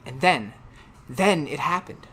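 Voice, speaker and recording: A man's voice: on the high side, animated, slow, fairly bright tone, a little hiss or hum. A man playing a tormented, anxious teacher in 1930s-style radio drama, with an intense, melodramatic delivery. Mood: haunted. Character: tormented male biology teacher, haunted and anxious.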